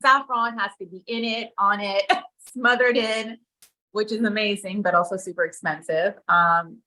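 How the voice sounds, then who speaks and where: A woman's voice: mid-range voice, fairly even intonation, moderate pace, sharp tone, rough recording. A woman from Israel who moved to America young, speaking casually as an interview guest, informal and often thinking aloud. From born in Israel, moved to America as a child. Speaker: guest, community leader and activist.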